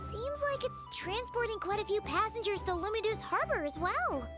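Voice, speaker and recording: A woman voicing a small, floating fairy companion girl in a video game, excitable and eager, with music behind her. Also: very high voice, normal speed. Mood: amazed, curious. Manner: excitable, refers to self in third person.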